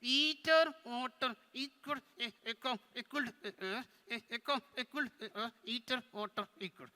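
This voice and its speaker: Squeaky, cheeky ventriloquist's dummy. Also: male voice, moderate pace, bright, forceful tone, exaggerated voice. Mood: flustered, silly. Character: cheeky, argumentative ventriloquist's dummy with a childish streak. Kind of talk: live ventriloquism stage comedy. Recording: echoey hall, long reverb.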